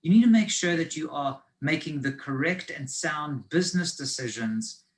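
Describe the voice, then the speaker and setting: A man with a South African accent giving a live online presentation on business finance. He explains in a conversational way and fills pauses with ums and restarts. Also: on the high side, fairly bright tone, poor audio.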